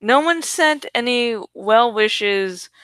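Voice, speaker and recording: A woman's voice: a little high, animated, takes it slowly, a bit bright, clean tone, dry recording. A woman host chatting casually to her viewers, swearing freely and laughing between remarks, while not feeling fully well. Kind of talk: casual chat to camera.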